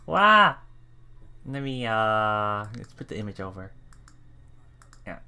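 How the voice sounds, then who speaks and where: A let's play streamer reading a visual novel aloud and adding casual, animated, reactive commentary. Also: medium pitch, slow pace, clear, resonant tone. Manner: animated, reactive, laughs often. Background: game music.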